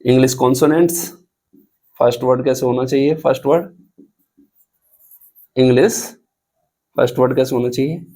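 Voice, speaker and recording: A man teaching a grammar lesson, explaining rules slowly and spelling out examples, often asking the students questions. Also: mid-range voice, steady tone, warm tone, rough recording. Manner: slow, deliberate, repeats and spells out examples.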